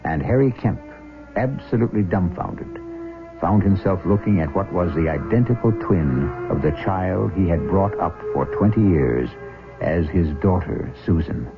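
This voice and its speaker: A man hosting and narrating a scripted radio drama with measured, suspenseful storytelling. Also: deep voice, animated. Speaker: host and narrator. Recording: tinny vintage radio broadcast, music underneath.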